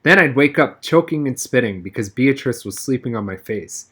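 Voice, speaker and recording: A man reading a novel aloud as an expressive narrator, with wry first-person storytelling. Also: mid-range voice, normal speed, slightly rough. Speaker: reader, narrator. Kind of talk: expressive audiobook-style read-aloud of a novel.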